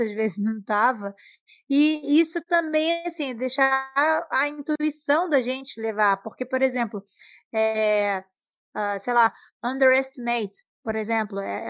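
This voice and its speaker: A woman's voice: high-pitched, no echo. A Brazilian woman in a casual conversation, chatty and explaining things through examples. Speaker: talk participant. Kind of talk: casual chat.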